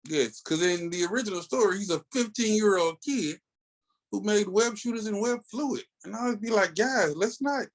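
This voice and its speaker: A man's voice: sits high, lively intonation, normal speed. An American man co-hosting a podcast in casual fan chat, telling stories enthusiastically with slangy asides. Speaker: comics podcast co-host.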